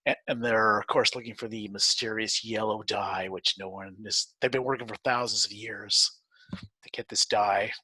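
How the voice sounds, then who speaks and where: Man, podcast co-host, bright forward tone, dry recording, casual, hesitant delivery. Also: fairly low pitch, fairly even intonation, normal speed, breathy edge, dry recording, low-quality recording.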